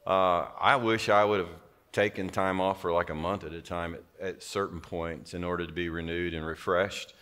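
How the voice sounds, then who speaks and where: Low-voiced older male pastor. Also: normal speed. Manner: relaxed, conversational, reflective. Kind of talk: sermon co-teaching. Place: large church auditorium. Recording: long hall reverb.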